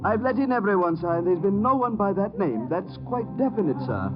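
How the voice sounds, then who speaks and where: An actor in an old radio drama playing a formal butler, stiff and precise, deferential but firm. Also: male voice, high voice, very animated, a little fast. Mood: stiffly polite. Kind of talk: 1940s-1950s radio acting. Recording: muffled old radio with music behind.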